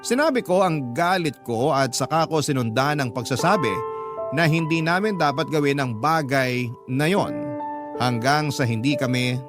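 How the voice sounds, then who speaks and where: Male radio drama narrator, fairly high voice, reading a scripted personal letter with clear background music. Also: expressive, moderate pace. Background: music under the voice.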